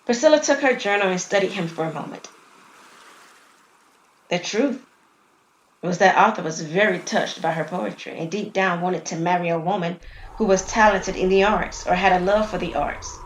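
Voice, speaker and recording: A woman's voice: lowish voice, normal speed, reverberant, slight background noise, poor audio. A woman reading a scripted story as its narrator and storyteller. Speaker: storyteller, writer and performer.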